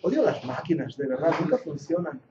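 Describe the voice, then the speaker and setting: A man's voice: on the high side, big pitch swings, a little fast, noisy background. A man in his forties from Catalonia with a Catalan accent mixed with English, giving an earnest, jokey Bible class that rises to emphatic preaching. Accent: Catalan Spanish mixed with English. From Catalonia, Spain. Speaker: Bible teacher. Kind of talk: classroom lecture, sermon-like. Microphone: handheld or lapel mic. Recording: muffled echoey hall recording.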